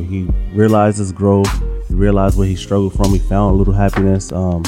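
Podcast host: nasal New York man with a lowish voice, casual and slangy, with a music bed behind him. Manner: laid-back, slangy, loose. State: nasal from a sinus infection.

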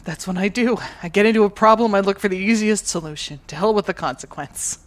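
Woman playing earnest, halting moonbase woman. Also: mid-range voice, close to an everyday voice. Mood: guilty, confessional. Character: Michelle, guilt-ridden, determined tech-savvy woman on a moonbase. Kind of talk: naturalistic audio drama acting.

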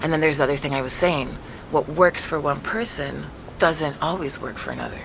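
A low-voiced measured neurologist. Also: female voice, creaky, background noise. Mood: explaining. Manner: explanatory, patient, weighing evidence. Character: calm, measured woman neurologist. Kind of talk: audio drama acting.

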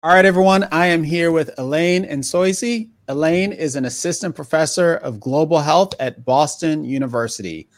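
A man's voice: on the high side, normal speed, few pauses, dry recording. Male podcast host, dry sound. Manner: conversational, hesitant questions with restarts. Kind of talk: interview podcast.

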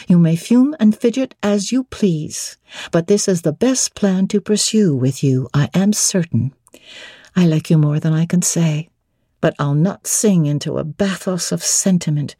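A woman voicing a proud, flinty young bride-to-be in a classic novel reading, brisk and firm in her retorts. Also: on the low side, warm tone, no room sound, clean studio sound. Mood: defiant.

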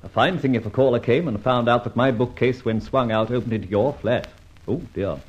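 A man playing a suave, mocking gentleman thief in an old radio drama, ironic and amused. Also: mid-range voice, fairly quick, husky, natural voice. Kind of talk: 1940s radio acting. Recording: dull lo-fi old radio recording.

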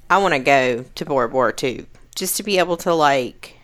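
A woman's voice: a bass voice, lively intonation, moderate pace, a bit bright. A woman guest on a podcast, reading out listener questions and chatting casually. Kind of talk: casual chat.

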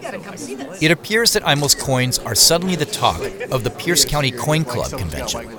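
A man's voice: mid-range voice, big pitch swings, moderate pace, crowd noise, natural voice. An actor playing an uneasy man in disguise who narrates his own story in the present tense for an audio drama. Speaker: narrator of the story in first person.

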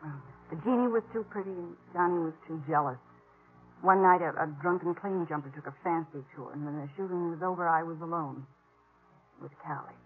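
A woman's voice: on the low side, a little fast, faint music behind, lightly acted. An actress playing a weary, defiant young captive woman in a 1950s radio western, blunt and bitter. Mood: sombre. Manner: blunt, bitter, plain-spoken. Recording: muffled old 1950s radio recording.

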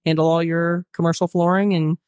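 A woman's voice: fairly low pitch, little pitch movement, a little fast, darkish tone, clear, resonant tone, no echo. A woman who owns a flooring company, interviewed on a radio show, talking in an upbeat, businesslike way into a close studio mic. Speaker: flooring company owner, guest. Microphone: close studio mic with headphones.

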